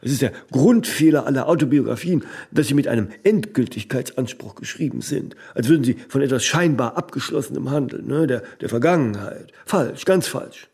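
Dark, mellow, stubborn celebrity workaholic. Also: male voice, medium pitch, expressive, a little fast, creaky, hardly any pauses. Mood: self-assured. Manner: rambling pronouncements, frequent tag "ne?". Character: famous, tireless, vain older male workaholic, stubborn and philosophising. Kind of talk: radio drama dialogue.

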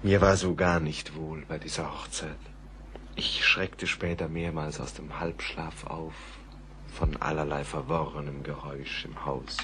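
An actor playing a troubled, dreamy gentleman in a literary radio play, brooding and at times hushed, over music. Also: very deep voice, little pitch movement, a little slow, a little hiss or hum, exaggerated voice. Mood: uneasy, confiding. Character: troubled, dreamy gentleman recounting an uncanny night.

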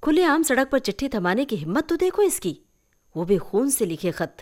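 A woman's voice: high voice, very animated, normal speed, a little mellow, pitched above the performer's own voice. A woman reading a story, playing a curious, easily flustered young woman who questions and exclaims. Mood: flustered, indignant. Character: curious, flustered young city-bound woman, indignant and scheming. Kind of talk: acted dialogue in a story reading.

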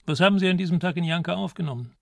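A middle-aged examining judge in a crime radio play. His voice sits fairly high with a gravelly creak, closely and dryly recorded. He is stern and clipped, questioning with cold authority. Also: racing, no room sound, studio quality. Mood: stern, curt. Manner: curt, authoritative, interrogating. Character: stern, curt middle-aged Spanish examining magistrate, powerfully built. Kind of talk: radio crime drama acting.

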